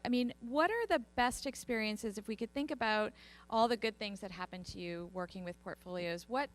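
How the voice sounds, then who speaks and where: A middle-aged woman moderating a panel as a professor, speaking in a friendly, upbeat manner with some fillers. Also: medium pitch. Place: conference hall at a college.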